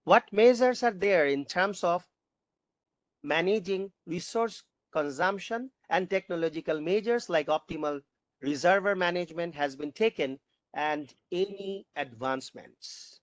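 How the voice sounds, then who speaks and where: A panel moderator reading out audience questions, haltingly, often repeating and rephrasing. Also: mid-range voice, dry recording.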